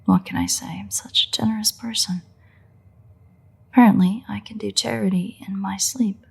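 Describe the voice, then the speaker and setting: A woman playing a sardonic, predatory vampire in an intimate roleplay audio drama, with a husky, breathy voice and a playful, drawling delivery. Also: mid-range voice, steady tone, normal speed, full character voice. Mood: incredulous, sarcastic. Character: teasing, unrepentant female vampire, sardonic and predatory.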